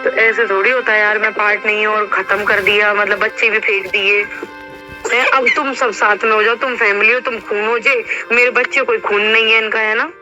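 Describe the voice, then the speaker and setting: A woman's voice: medium pitch, steady tone, fairly quick, bright, forceful tone. A young woman on a private phone call, talking insistently and with a sense of grievance, often cutting in on the other person. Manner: insistent, aggrieved, talks over the other. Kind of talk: heated private phone conversation. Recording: muffled recorded phone call, music behind.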